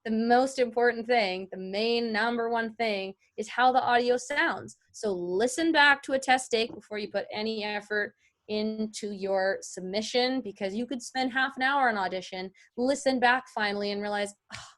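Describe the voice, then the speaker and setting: Chatty higher-pitched woman teaching. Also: moderate pace, fairly bright tone. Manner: chatty, explanatory, emphatic. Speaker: voice-over artist teaching a class. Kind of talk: informal instructional talk. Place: indoors, online video call.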